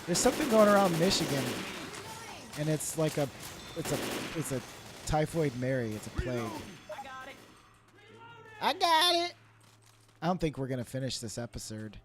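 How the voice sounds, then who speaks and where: A man with a hoarse, failing voice, co-hosting a podcast and rambling casually with jokes and fillers while gaming. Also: fairly high pitch, sing-song, moderate pace, long pauses, music in the background. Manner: rambling, joking, frequent fillers. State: losing his voice. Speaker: podcast co-host. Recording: game gunfire noise behind. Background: game gunfire.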